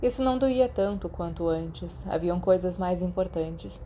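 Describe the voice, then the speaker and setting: A woman's voice: on the low side, moderate pace, dark tone, a little hiss or hum. A woman with a Brazilian accent reading scripted audiobook narration as a fan-fiction narrator. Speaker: podfic narrator.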